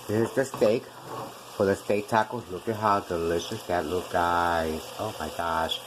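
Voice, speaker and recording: A woman's voice: a bass voice, background noise. A woman from Puerto Rico with a Puerto Rican accent, Spanish being her first language, hosting a casual home cooking show in her kitchen and chatting to her viewers as she goes. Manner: chatty, keeps calling out to viewers as guys.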